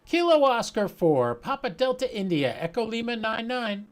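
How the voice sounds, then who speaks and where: A man's voice: very high-pitched, very animated, normal speed. A man giving casual running commentary as he operates a ham radio, thinking aloud through each step. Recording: loud radio hiss and static noise. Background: ham radio hiss and chatter.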